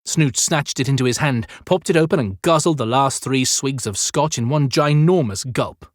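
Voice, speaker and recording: A man narrating an audiobook in a theatrical, suspenseful style with deliberate emphasis. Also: mid-range voice, no echo, clean studio sound. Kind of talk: dramatic scripted fiction narration.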